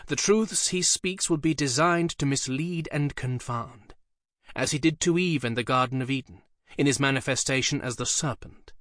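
A male narrator voicing a commanding Elizabethan magician who shouts urgent incantations at a demon. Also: mid-range voice, moderate pace, no echo, studio quality, close to an everyday voice. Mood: warning.

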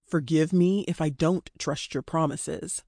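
A woman's voice: low voice, steady tone, unhurried, mellow, dry recording, studio quality. A woman voicing a commanding, mocking male kidnapper with curt delivery in an audiobook story.

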